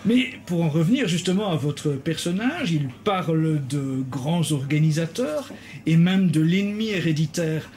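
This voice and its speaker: Formal male interviewer, slightly high voice. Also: lively intonation, measured pace, darkish tone.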